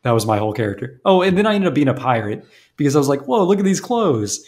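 A man co-hosting a podcast in casual chat, telling stories in a relaxed way with many fillers. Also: medium pitch, fast talker, slight background noise. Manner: relaxed, filler-heavy storytelling. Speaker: podcast co-host.

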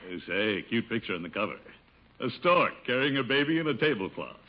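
An actor playing a pompous, easily flustered middle-aged uncle in a 1950s radio sitcom, blustering and stammering, on an old broadcast recording. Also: male voice, mid-range voice, sing-song, fairly quick, highs cut off. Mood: bossy. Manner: blustery, hesitant stammering, chuckling.

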